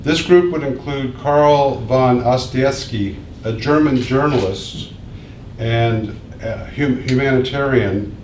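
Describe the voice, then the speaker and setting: A man from the Midwest giving a prepared speech as an invited speaker, measured and pausing often. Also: mid-range voice, darkish tone, slight background noise. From American Midwest. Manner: measured, reads from prepared remarks with pauses. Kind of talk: prepared speech at a public event. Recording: echoey room, mic at a distance.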